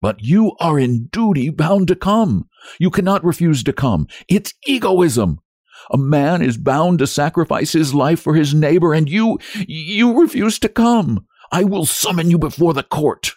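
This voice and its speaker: A distraught young wife of classic fiction acted out by a man narrator. The voice is lifted high and swings widely as it begs, tearful and urgent, in a dry recording. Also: lively intonation, measured pace, a little mellow, no room sound. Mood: indignant pleading. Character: desperate, pleading young wife begging for help.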